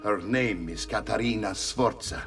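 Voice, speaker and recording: An actor playing a steady, resolute male mentor in a video game. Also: fairly low pitch, unhurried, distant mic, soft background music.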